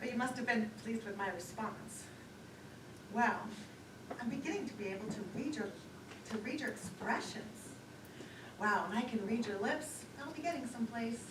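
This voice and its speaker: A woman's voice: on the high side, moderate pace, long pauses, close to an everyday voice. A woman acting in a live one-act play as a nervous, self-conscious woman rambling through an awkward date. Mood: awkward, chatty. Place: lakeside theater stage, recorded from afar. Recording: distant, echoey, noisy live theater recording.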